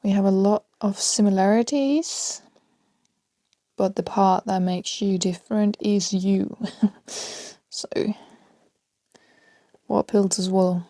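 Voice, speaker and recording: A woman with a Danish accent talks unhurriedly through her unscripted podcast, letting her voice sink into a breathy half-whisper again and again. Her tone is mellow and low-key. The sound is close and dry, with no room around it. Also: fairly even intonation, slow, pauses often, dry recording. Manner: rambling, soft, often half-whispered, laughs between thoughts. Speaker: podcast host. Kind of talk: unscripted solo podcast rambling.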